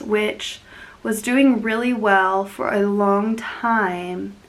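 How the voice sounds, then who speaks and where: A woman's voice: mid-range voice, rough recording. A young woman hosting a casual plant vlog, sniffly and congested from being sick, rambling and laughing often as she talks. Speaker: plant channel host.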